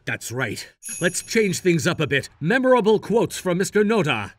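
A man voicing an earnest, loyal young gangster in an anime-style cartoon, presenting to viewers with animated delivery. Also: high voice, moderate pace, no echo.

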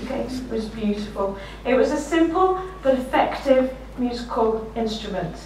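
A middle-aged woman with a slightly croaky voice, giving a conference keynote with self-deprecating anecdotes. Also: fairly high pitch, expressive, moderate pace, a little hiss or hum. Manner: warm anecdotal, self-deprecating, often laughing while speaking. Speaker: keynote speaker. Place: lecture room, standing behind a desk. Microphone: room mic, far from speaker. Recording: echoey lecture room from far off.

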